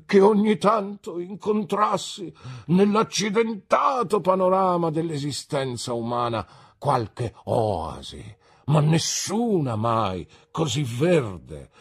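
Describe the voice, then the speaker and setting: A man voicing a pompous, grandiloquent gentleman down on his luck, speaking in flowery, theatrical phrases. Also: a little high, very animated, slow pace, slightly rough, voice pushed up, heavily acted.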